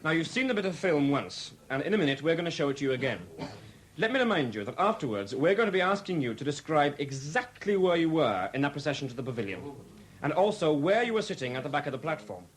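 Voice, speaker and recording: An actor playing a brisk, orderly police officer giving instructions in a 1970s radio drama. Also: male voice, a little high, very animated. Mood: brisk, commanding. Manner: emphatic, orderly, commanding. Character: brisk police officer addressing an assembled group.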